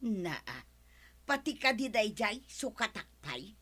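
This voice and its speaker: A flustered gossipy ilocano village lady, radio comedy, bright voice, slow drawn out protests. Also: mid-range voice, no room sound, exaggerated voice. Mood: defensive. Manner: animated, exclaiming, drawn-out protests. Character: gossipy village woman, defensive and easily flustered.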